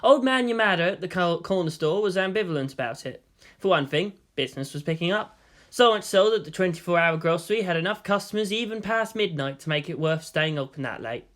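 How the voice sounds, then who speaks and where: Bright, high-voiced man narrating fiction. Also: animated, fairly quick. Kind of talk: scripted narration, expressive storytelling.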